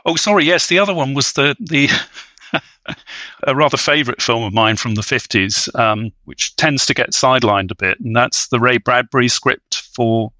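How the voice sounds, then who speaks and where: A man's voice: medium pitch, moderate pace, sharp tone, dry recording. A man speaking as an expert podcast guest in an academic discussion, with hesitant, scholarly, wordy phrasing.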